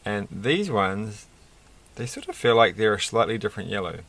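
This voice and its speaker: A middle-aged man with a croaky voice, chatting casually and haltingly through a hobby build, often searching for words. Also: on the low side, animated, a bit bright. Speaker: Lego build video creator. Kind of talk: casual hobby vlog, unscripted commentary while building. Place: indoors at a desk.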